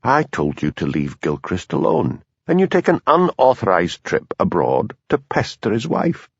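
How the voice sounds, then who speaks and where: A man voicing a cold, stern police superintendent who speaks quietly and frostily, in a dull lo-fi recording. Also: mid-range voice, normal speed, dry recording, close to an everyday voice. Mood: quietly furious.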